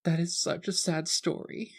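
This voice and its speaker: A man's voice: on the high side, level tone, moderate pace, husky, pitched above the performer's own voice. A man voicing a tipsy teenage noble girl in a tabletop game, effusive, tender and formally polite. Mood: tearful, earnest.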